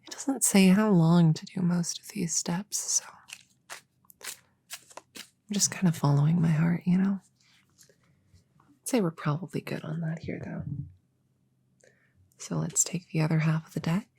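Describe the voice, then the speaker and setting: A woman playing a young, earnest novice witch in a soft, partly whispered ASMR roleplay, chatting hesitantly and intimately as if to a friend. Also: low-pitched, unhurried, pauses often, heavily acted. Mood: playful, relaxed. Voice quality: breathy, soft.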